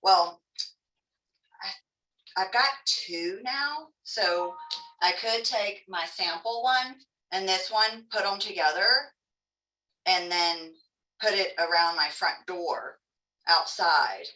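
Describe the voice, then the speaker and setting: A woman from Texas with a Texan accent leads a hands-on craft lesson, chatty and folksy, teasing herself as she goes. Her voice sits fairly low but comes across bright and forceful. She stands a little back from the mic, and the room gives a clear echo. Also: takes it slowly, pauses often, recorded from a distance. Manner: chatty, folksy, self-joking. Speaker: craft class instructor. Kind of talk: live online craft demonstration.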